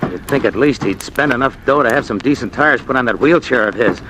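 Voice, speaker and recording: An actor playing a bitter, put-upon husband in a 1940s radio drama, with a sardonic, exasperated manner. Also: male voice, medium pitch, a little fast, close to an everyday voice. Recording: noisy vintage radio recording.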